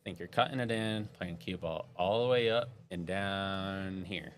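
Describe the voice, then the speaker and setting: Deep-voiced calm pool commentator man. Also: a little slow, slight background noise. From upstate New York. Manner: calm, analytical shot calling.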